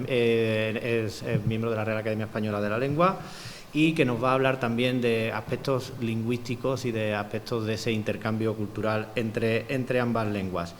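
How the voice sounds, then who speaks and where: A man moderating a conference panel and introducing the speakers, talking fluently with frequent small restarts and fillers. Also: medium pitch. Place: conference hall. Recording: long hall reverb, faint room noise.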